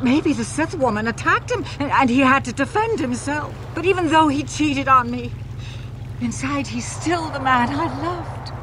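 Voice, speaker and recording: An actress playing an older, anguished but loyal betrayed wife in a video game, pleading and halting. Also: female voice, high voice, animated, background noise. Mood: pleading, sorrowful.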